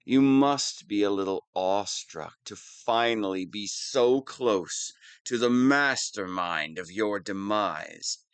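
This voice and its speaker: A man's voice: fairly low pitch, takes it slowly, hardly any pauses, clean tone, no room sound. A man playing a theatrical wannabe supervillain in an audio roleplay, pompous and smug with a petulant, whiny streak. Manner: pompous monologuing that slips into whining. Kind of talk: scripted audio roleplay monologue.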